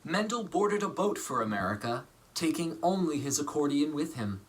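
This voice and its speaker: A man reading a children's story aloud as an actor, with deliberate, theatrical phrasing and dramatic emphasis. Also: a little high, expressive. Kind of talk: expressive storybook narration.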